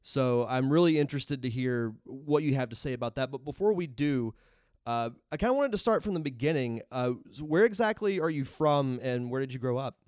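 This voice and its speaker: A man's voice: mid-range voice, animated, brisk, a little mellow, clean tone, no room sound. A man hosting a podcast in a conversational interviewing style, speaking close to the microphone. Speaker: podcast host.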